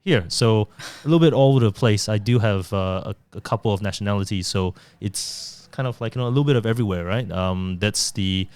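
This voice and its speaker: A middle-aged man from Singapore and Taiwan, a guest on a podcast, chatting in a relaxed, self-deprecating way. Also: fairly low pitch, fairly quick, hardly any pauses, clean tone, no room sound. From born in Taiwan, raised in Singapore. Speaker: podcast guest, digital marketer. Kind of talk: casual interview conversation.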